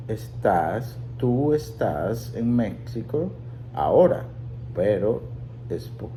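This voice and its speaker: A man with a Spanish accent, a native Spanish speaker, teaching a language class in a deliberate, halting way and repeating key phrases for learners. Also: sits low, warm tone, slight background noise, poor audio. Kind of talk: classroom lesson explanation.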